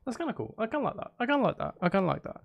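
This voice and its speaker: A man's voice: very high-pitched, big pitch swings, rapid-fire, vocal fry, dry recording, highs cut off. A man streaming casually, thinking aloud and repeating phrases to himself as he works. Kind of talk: casual live-stream commentary while making music. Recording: loud music behind the voice. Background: music being composed, guitar and instruments.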